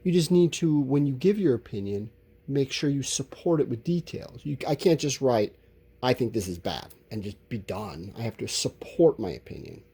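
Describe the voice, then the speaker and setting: A man's voice: medium pitch, lively intonation, normal speed, warm tone, husky. A man teaching a school lesson, talking informally and explaining as he writes out answers, drawing out his words. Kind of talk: instructional lesson, thinking aloud while writing answers.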